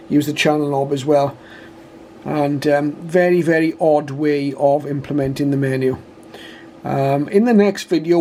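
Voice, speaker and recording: A man's voice: on the high side, warm tone, slight background noise. A British man giving an informal, matter-of-fact product demonstration, explaining methodically step by step. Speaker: radio reviewer and demonstrator.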